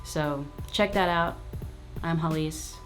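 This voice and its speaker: Low-voiced woman chatting frankly on her vlog. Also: slow, soft background music. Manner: frank, slangy, conversational. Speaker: YouTube host. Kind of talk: casual Q&A vlog.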